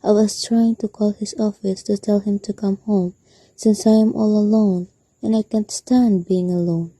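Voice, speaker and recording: A woman's voice: mid-range voice, fairly even intonation, normal speed, dark tone, no room sound, close to an everyday voice, poor audio. A young woman acting in a student radio drama as an anxious, demanding invalid wife alone at night. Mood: insistent.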